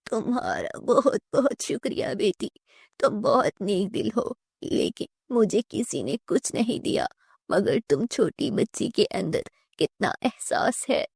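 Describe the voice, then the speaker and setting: Husky, creaky old beggar granny. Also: female voice, mid-range voice, animated, a little mellow, no echo, studio quality, full character voice. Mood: tearful gratitude. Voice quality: breathy, raspy whisper. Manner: slow, pleading, tender. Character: frail kind hungry old beggar granny, grateful. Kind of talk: cartoon moral story voice.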